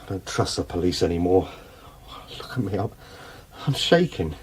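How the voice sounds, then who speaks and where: A man's voice: sits low, lively intonation, moderate pace, a little hiss or hum. An actor playing an anxious, distrustful British man in a radio drama, agitated and hesitant in his delivery. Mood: anxious, gloomy. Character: anxious, distrustful man fretting over a missing woman friend.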